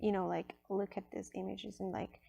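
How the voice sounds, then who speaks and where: A woman whose first language is Spanish, casually reviewing an oracle deck at a table, chatty, with many fillers and soft near-whispered asides. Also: mid-range voice, little pitch movement, normal speed, creaky, husky, no echo, lo-fi sound. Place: indoors at a table.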